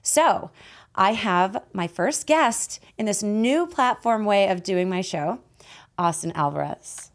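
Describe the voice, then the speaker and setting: A woman with a raspy, hoarse voice hosting a conversational podcast in a playful, teasing manner. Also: medium pitch, lively intonation, slow. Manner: playful, teasing, laughs often. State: voice hoarse after losing it. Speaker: host and guide.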